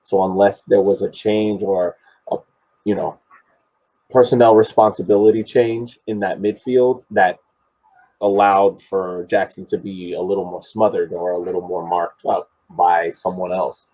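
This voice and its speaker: On slightly muffled audio, a man with a fairly low, husky voice talks through a match. His casual analysis comes in halting phrases with repeated words. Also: steady tone. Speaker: sports podcast panelist.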